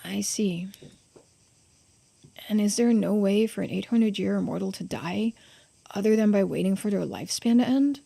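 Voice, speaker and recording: Woman as a mellow, hesitant, curious immortal. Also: medium pitch, normal speed, creaky, long pauses, breathy edge. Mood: uneasy curiosity. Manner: hesitant, tentative questioning. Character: uneasy, curious secret immortal, polite and questioning.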